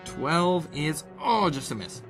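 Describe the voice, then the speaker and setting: A man acting as game master, narrating a tabletop game casually and informally, often pausing to think aloud. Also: on the high side, sing-song. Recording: music behind the voice. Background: game soundtrack music.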